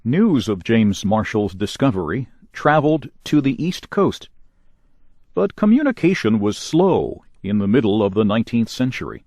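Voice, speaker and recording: Man reading news with lively pitch. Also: no room sound, studio quality. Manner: measured, clearly enunciated broadcast reading. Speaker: news reader. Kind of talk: scripted news narration.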